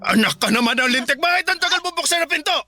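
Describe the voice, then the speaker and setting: Gravelly, raging drunk Filipino father. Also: very high-pitched, expressive, quick pace. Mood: angry, impatient. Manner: shouting, menacing, taunting. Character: violent drunk father and husband, bullying, hot-tempered. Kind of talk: radio drama acting. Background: dramatic music.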